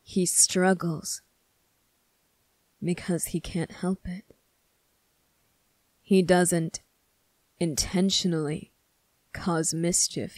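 A woman voicing a stern, bitter young male demon prince in an ASMR roleplay, speaking deliberately and accusingly, at times sinking toward a whisper. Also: on the low side, dry recording. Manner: slow, deliberate, accusing, with breathy whispered phrases. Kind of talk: scripted ASMR roleplay monologue.

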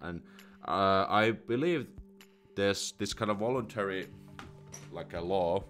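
A man's voice: sits low, a little slow, a bit bright, soft background music. A young Finnish man with a Finnish accent hosting a live stream from a small room, speaking casually into a studio mic, with many restarts and fillers as he thinks aloud. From Finland. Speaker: host answering viewer questions. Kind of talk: casual live stream Q&A, reading chat comments. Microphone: studio condenser mic with headphones.

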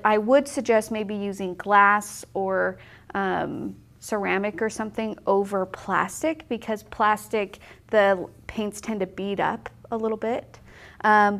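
A woman's voice: mid-range voice, creaky, a bit bright. Brief: woman in her 30s, cheerful, talkative painting teacher, at a table in an indoor studio. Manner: upbeat, chatty, explains step by step. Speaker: watercolor instructor. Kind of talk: casual on-camera painting tutorial.

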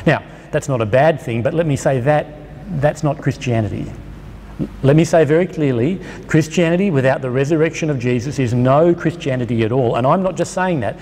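A middle-aged man with an Australian accent, preaching a sermon at a lectern microphone, emphatic and slowing down on key phrases. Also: mid-range voice, darkish tone. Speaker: pastor. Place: church hall with a lectern. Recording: long reverb of a church hall.

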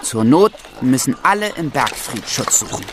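A man's voice: medium pitch, very animated, normal speed, noisy background, close to an everyday voice. A young man playing an eager, proud medieval squire who explains things to visiting children in an audio drama. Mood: amused, explaining. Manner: explanatory, enumerating.

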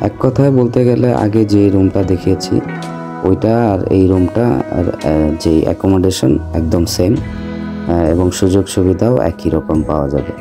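A man's voice: low-pitched, normal speed, over music. A man in his thirties with a Bangladeshi accent, a travel vlogger narrating a hotel review and explaining things to viewers.

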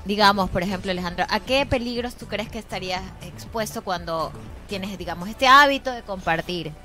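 A woman's voice: mid-range voice, a little fast, sharp tone. A woman in her forties with an Ecuadorian accent, co-hosting a casual radio conversation in a studio. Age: 40s. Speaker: radio co-host. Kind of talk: casual radio chat, questioning the guest. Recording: faint music behind the voice. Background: faint music.

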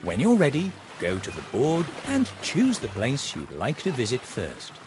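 A friendly male narrator for a children's cartoon game. The scripted storybook delivery is mid-pitched and extremely animated, with loud music underneath. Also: moderate pace.

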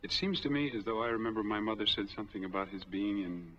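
An American actor in his forties playing a smooth, easygoing drifter in a 1940s film drama. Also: fairly low pitch, fast, fairly bright tone. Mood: earnest, measured. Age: 40s. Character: smooth, easygoing drifter applying for bank money. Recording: dull old 1940s film soundtrack.

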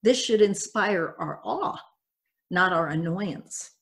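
An older woman pastor giving a measured, reflective sermon, reading from notes at a church pulpit. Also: fairly low pitch, animated, vocal fry.

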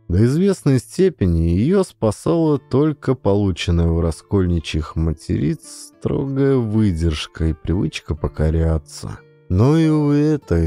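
A man reading classic literature as an audiobook, with measured, expressive, dramatic narration. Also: on the low side.

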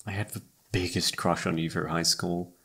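A young man playing a lovesick, self-blaming best friend in a halting, emotional, intimate romantic audio roleplay, with a husky voice. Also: low-pitched, little pitch movement, pushed and bright, heavily acted. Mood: nervous, tender.

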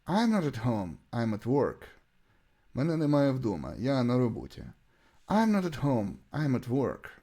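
Ukrainian-accented male teacher, very lively intonation. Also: mid-range voice. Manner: deliberate, repeats short sentences. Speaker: English teacher. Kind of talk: bilingual language lesson, reading example sentences and translations.

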